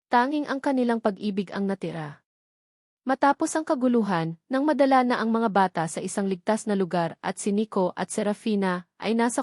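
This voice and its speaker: A woman's voice: mid-range voice, a little mellow, no echo. Woman narrating a romance story, dry studio sound.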